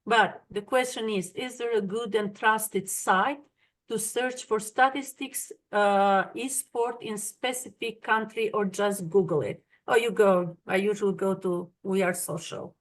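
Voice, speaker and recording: A woman's voice: mid-range voice, fairly even intonation, unhurried. A woman with an Albanian accent, a native Albanian speaker, moderating an online webinar and reading out audience questions over a video call. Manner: reads audience questions, apologetic about names.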